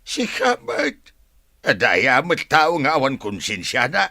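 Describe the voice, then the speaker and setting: A man with an Ilocano accent playing an emotional, plaintive village husband and son in a radio drama, with a gravelly, husky voice. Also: medium pitch, wildly swooping pitch, takes it slowly, pushed and bright, no echo, studio quality, full character voice. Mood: agitated, loud.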